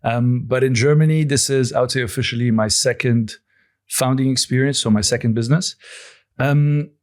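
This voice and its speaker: A man in his forties, born and raised in Lebanon, answering podcast questions as a company founder in a measured, thoughtful way with frequent pauses and restarts. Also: mid-range voice, fairly even intonation, a little mellow. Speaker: guest, coffee company founder.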